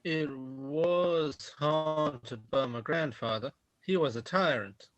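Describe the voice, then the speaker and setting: A man's voice: on the high side, slow pace, no echo, muffled recording. A man playing a sentimental, reproving middle-aged father in an amateur radio drama. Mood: resigned.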